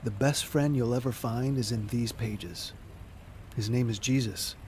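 A man's voice: medium pitch, a little slow, a little mellow, a little hiss or hum, lightly acted. Reassuring evangelist man, acted, faint street ambience. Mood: kindly, assured. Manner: reassuring, earnest. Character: kindly, assured male street evangelist handing out Bibles. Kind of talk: audio drama acting.

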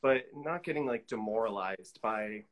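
A man's voice: lowish voice, normal speed, crowd noise. A man in his forties chatting as an interview guest on a video call, thinking aloud in a tentative, informal way. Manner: tentative, thinking aloud, hedging with "like" and "you know". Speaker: guest artist. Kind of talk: casual interview chat. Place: indoor room on a video call.

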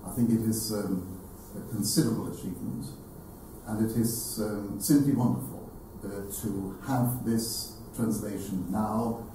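An older man giving a formal introductory talk to an audience, in a halting, erudite manner with deliberate phrasing. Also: on the low side, warm tone. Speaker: speaker introducing a literary event. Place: indoor salon hall with seated audience. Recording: echoey room, distant mic, faint noise.

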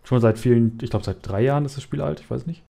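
A man hosts a gaming podcast. His voice is mellow and relaxed at a middle pitch. He talks casually and haltingly, posing quiz questions to his co-hosts. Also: creaky. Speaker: podcast host.

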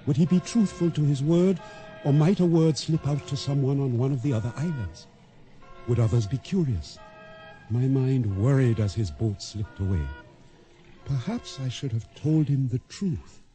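An actor playing an anxious island boatman who narrates his radio drama tale in formal, deliberate, reflective phrasing. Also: medium pitch, dark tone, lightly acted. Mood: troubled, brooding. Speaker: narrator and lead character. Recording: radio drama with prominent background music. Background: music.

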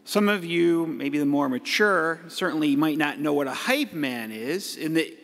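A middle-aged man, a priest, giving a playful homily from the pulpit with the odd hype-man shout. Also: on the high side, animated, a little slow. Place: large church. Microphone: pulpit mic. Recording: echoey church hall.

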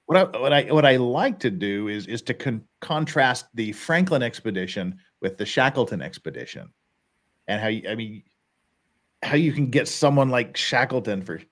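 A man's voice: medium pitch, lively intonation, moderate pace, a bit bright. A middle-aged man hosting a casual livestream from a home studio, chuckling and reading chat comments aloud. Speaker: host. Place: home studio in front of a backdrop. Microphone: headset.

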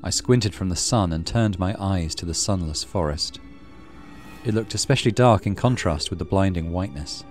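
A man's voice: sits low, moderate pace, faint music behind. A man hosting a let's play, reading a horror visual novel aloud with dramatic storytelling and muttered asides. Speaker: gaming channel host.

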